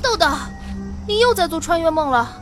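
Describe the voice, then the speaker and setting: A woman's voice: very high voice, big pitch swings, fairly quick, music in the background. A woman playing a sensible, nagging teenage schoolgirl, scolding and teasing her best friend in an audio drama. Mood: exasperated, mocking. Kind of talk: Chinese audio drama acting.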